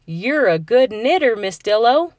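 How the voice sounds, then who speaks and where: A cheerful storybook frog, voiced by a woman, full of classroom enthusiasm. The delivery is loud and emphatic, with lifted pitch. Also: sing-song, a little slow, no echo, studio quality. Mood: admiring.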